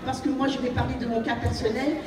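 A woman's voice: sits high, fairly even intonation, fast talker. A woman from France giving an earnest, exhorting speech to an audience in a banquet hall, repeating her phrases for emphasis. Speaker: guest speaker. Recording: echoey hall, distant mic, crowd noise.

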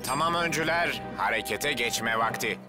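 A man's voice: medium pitch, animated, normal speed, music in the background, close to an everyday voice. A man voicing a cocky, competitive young adventurer in a cartoon, breezy and self-assured.

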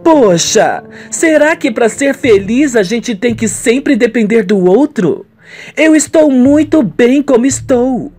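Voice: mid-range voice, lively intonation, slow, a little mellow, soft background music, pitched above the performer's own voice. A performer voicing an arrogant, affected young rich daughter in a radio soap, haughty and emphatic. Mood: indignant.

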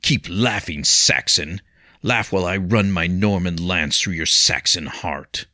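A man's voice: low-pitched, a little slow, bright, forceful tone, no room sound, clean studio sound, full character voice. A man doing a haughty, sneering young knight. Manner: haughty, indignant.

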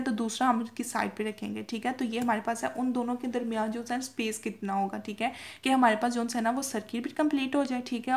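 A woman's voice: a little high, a little mellow. A young woman giving an informal lecture from notes, repeating points with frequent checking tags and mixing in English technical terms. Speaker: medical lecturer.

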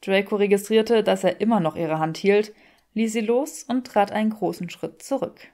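A woman reading an audiobook, telling the story expressively in a measured, wry manner. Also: mid-range voice, dark tone. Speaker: audiobook reader. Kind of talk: expressive scripted fiction narration in German.

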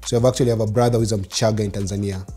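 A Kenyan man in his thirties, telling his childhood story in an interview, mixing in Swahili, animated. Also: on the low side, very fast, soft background music. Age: 30s. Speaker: interview guest. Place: living room studio set, seated in armchair.

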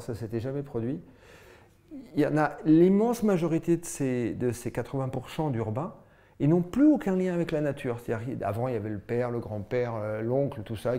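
A man's voice: medium pitch, mellow. An older man explaining things in an interview, conversational and emphatic, making his case point by point. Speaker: mountain association president. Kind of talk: documentary interview, explaining informally. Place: indoors, small office with windows.

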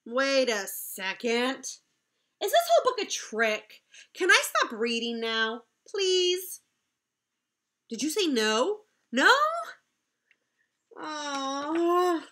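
A woman's voice: sits high, big pitch swings, extremely slow, long pauses, fairly bright tone, exaggerated voice. A woman playing an exasperated grown-up forced to read a silly children's book aloud, theatrical and protesting. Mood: indignant disbelief.

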